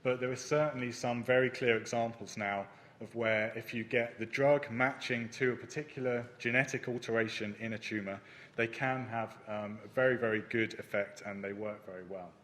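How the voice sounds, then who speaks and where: A man presenting his research at a conference, speaking in a measured way with frequent ums and uhs. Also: mid-range voice, fairly even intonation, fairly bright tone. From not Germany. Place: conference hall.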